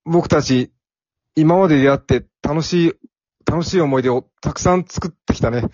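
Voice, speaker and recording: A man's voice: fairly high pitch, normal speed, a little mellow, no echo, dull audio, natural voice. A man playing a timid, earnest young office worker in an amateur radio drama, with plain boyish phrasing.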